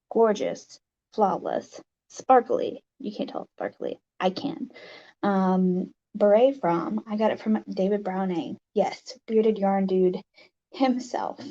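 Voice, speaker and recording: A livestream host with a raspy voice, chatting casually and haltingly with viewers, with many fillers. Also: mid-range voice, mellow, lo-fi sound.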